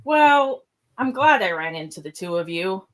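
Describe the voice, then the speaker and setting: An awkward, earnest starship first officer , read by a woman talks in an everyday mid-pitched voice. The delivery is halting and hesitant, like an amateur sci-fi radio play. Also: big pitch swings, natural voice. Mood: sheepish.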